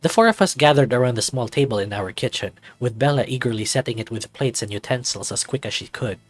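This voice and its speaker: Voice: mid-range voice, expressive, quick pace, no room sound, soft background music. A narrator reading a scripted first-person visual novel story.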